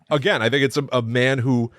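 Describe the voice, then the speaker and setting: A man's voice: mid-range voice, fairly even intonation, normal speed, fairly bright tone, no room sound, studio quality. A man co-hosting a podcast, talking casually about film and acting out bits as he retells them. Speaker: podcast co-host. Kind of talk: casual film discussion chat.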